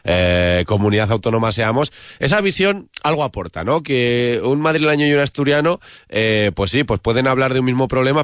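A man interviewing a guest, asking long, thoughtful questions at a measured pace with frequent hesitations. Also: mid-range voice, a bit bright, clear, resonant tone, no room sound, studio quality.

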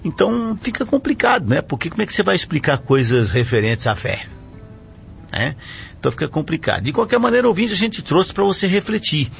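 A man's voice: sits high, very animated, fairly quick, vocal fry. Chatty male radio pastor, lo-fi sound. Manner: chatty, explanatory, often adds "né?". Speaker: radio program host and pastor. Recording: lo-fi radio broadcast with faint music.

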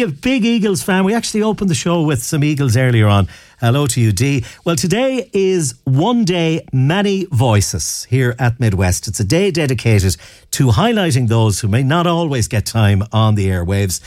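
Irish guy chatting as a local radio host, really lively up and down voice, dry studio sound. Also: medium pitch, no room sound. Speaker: radio presenter.

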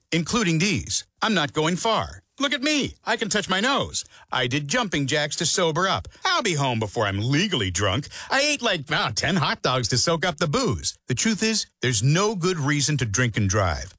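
Man, public service announcer, lively up-and-down voice, dry studio sound. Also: a little high, normal speed, hardly any pauses, dry recording. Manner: playful then earnest. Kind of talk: scripted public service spot. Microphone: studio microphone.

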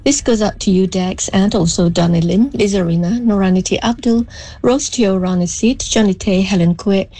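A woman's voice: medium pitch, moderate pace, dark tone, no echo, rough recording. A woman sends a polite voice note to a radio DJ, reading out names one by one. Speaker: listener sending a voice note.

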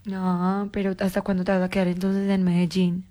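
A woman's voice: mid-range voice, monotone, brisk, dark tone, no room sound, clean studio sound. A woman from Colombia with a Paisa accent, chatting casually on a phone call in a coaxing, playfully evasive, insistent way. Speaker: phone caller.

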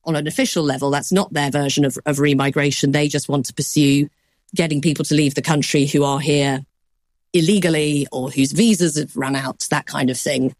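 A woman news correspondent giving a measured explanation on a news program, softening points with qualifiers. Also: sits low, steady tone, no room sound, clean studio sound.

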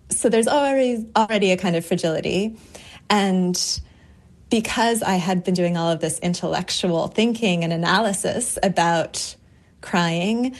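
A woman's voice: lowish voice, a little mellow. A woman poet and author speaking as a guest in a radio interview, thoughtful and articulate, laughing easily.

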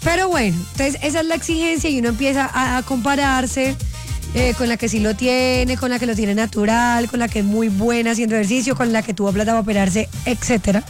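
Woman co-host, higher voice, bawdy and animated, light music bed. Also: a little fast. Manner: playful, bawdy, animated storyteller. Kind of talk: casual chat.

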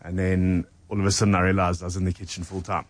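A man's voice: sits low, fast. A man in his thirties from South Africa, a head chef recounting his career step by step in a studio interview. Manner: steady, methodical recounting. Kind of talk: casual interview storytelling. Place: radio studio.

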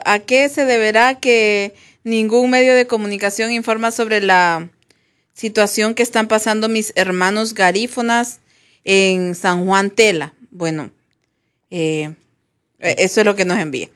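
A woman's voice: mid-range voice, measured pace. A woman with a Honduran Spanish accent hosting a radio show, chatting informally and relaying messages from listeners. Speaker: radio host. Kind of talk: casual talk radio chat, reading listener messages.

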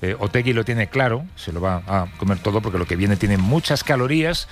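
A man's voice: fairly low pitch, lively intonation, a little fast, faint music behind. A man hosting a radio show, bantering with wry, joking remarks in a casual comic style.

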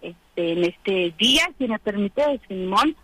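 Bright chatty woman on a radio link. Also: mid-range voice, animated, takes it slowly, dry recording, low-quality recording. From San Andrés Chicahuaxtla, Oaxaca. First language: Triqui. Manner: chatty, casual, many fillers. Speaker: radio correspondent.

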